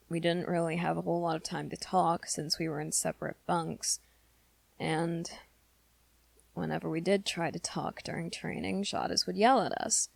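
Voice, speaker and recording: A woman plays an awkward teenage werewolf boy with a low voice full of gravelly creak. He talks haltingly and earnestly, close to the listener as in an ASMR roleplay. His short temper is held back in favour of gentleness, and the sound is dry and intimate. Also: no echo, exaggerated voice. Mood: gentle, reassuring. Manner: hesitant, halting, rambling confession to listener.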